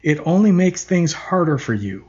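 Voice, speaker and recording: Man doing a calm, stern controlling nurse. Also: a little high, breathy edge, lo-fi sound. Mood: cold calm. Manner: raised but calm, measured.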